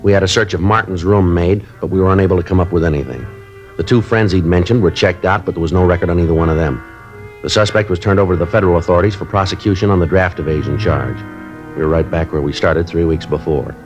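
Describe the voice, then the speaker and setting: An actor playing a clipped, matter-of-fact police sergeant who questions a suspect and narrates the case in a 1950s radio drama. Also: male voice, low voice, lo-fi sound, natural voice. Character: terse, dogged middle-aged male police sergeant.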